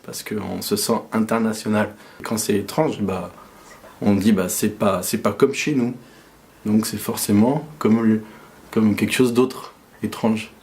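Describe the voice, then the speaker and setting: A man's voice: lowish voice, fairly even intonation, unhurried, a little mellow. A young man from France, talking casually and reflectively as an interviewee, hesitant with many fillers and restarts.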